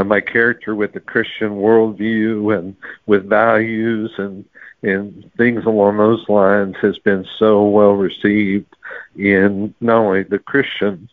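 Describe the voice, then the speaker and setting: Guy author chatting in an interview, kinda low and even, thin phone sound, dry. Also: slow pace, no echo. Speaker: novelist, interview guest. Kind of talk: conversational interview answers. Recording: narrow-band radio-like call, dry.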